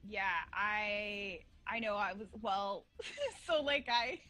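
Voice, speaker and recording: A woman's voice: mid-range voice, lively intonation, takes it slowly, bright, forceful tone, soft background music. A woman guest on a podcast, telling stories in loose, rambling talk full of fillers and restarts. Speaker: guest, cosplay face model. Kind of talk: casual podcast chat.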